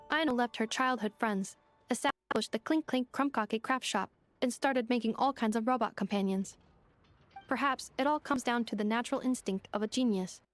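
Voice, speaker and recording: Loyal, earnest robot girl woman acting, fairly high voice, formal and composed, game dialogue with faint music. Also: close to an everyday voice. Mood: explanatory. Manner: measured, formal, explanatory. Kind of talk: anime-style video game voice acting.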